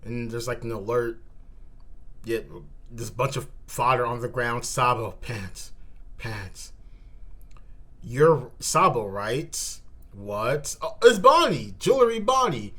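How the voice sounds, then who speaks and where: A male host doing a live reaction to manga. He reads dialogue aloud and adds his own commentary, moving between slower reading and animated exclamations at a middling pitch.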